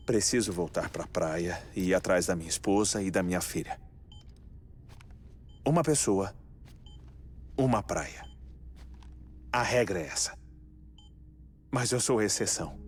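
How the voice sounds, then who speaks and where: Low-voiced, brooding Brazilian scientist played by an actor. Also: male voice, a little hiss or hum, close to an everyday voice. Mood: wistful resolve. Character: obsessive, melancholic middle-aged male scientist with weak heart. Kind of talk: dubbed video game cutscene acting. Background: music.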